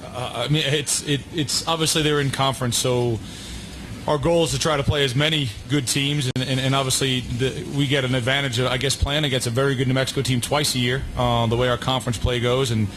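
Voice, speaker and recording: Fast-talking male coach, halting delivery. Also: medium pitch, steady tone, fairly bright tone, poor audio. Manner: halting, repeats words. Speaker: college soccer coach. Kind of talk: answering media questions. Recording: noticeable background noise, outdoor event.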